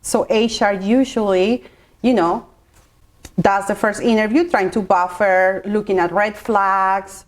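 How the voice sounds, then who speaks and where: A woman's voice: medium pitch, steady tone, measured pace. A woman, a guest in an interview, explaining her work at length with fillers and restarts. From Colombia. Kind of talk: interview answers.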